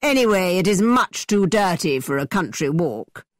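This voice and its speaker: A woman's voice: fairly low pitch, very animated, moderate pace, dry recording, studio quality. A woman reading a story aloud, voicing a brash, boastful young man who pushes his plans on others. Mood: pushy. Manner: loud, pushy.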